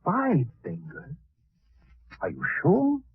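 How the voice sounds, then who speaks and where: An actor playing an earnest, deferential alien translator and assistant in a 1940s radio drama. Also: male voice, a little high, wildly swooping pitch, takes it slowly, creaky, slightly rough, no echo, exaggerated voice. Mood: eager, deferential. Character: eager, respectful alien assistant and translator to a professor. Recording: tinny band-limited vintage radio recording.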